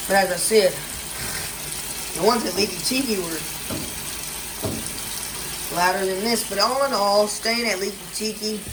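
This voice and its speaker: A man rambles through a casual vlog walkthrough in a condo kitchen, full of fillers and restarts. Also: high-pitched. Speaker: vlogger. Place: indoor condo kitchen by a running washer. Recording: noisy with running water and appliance sounds.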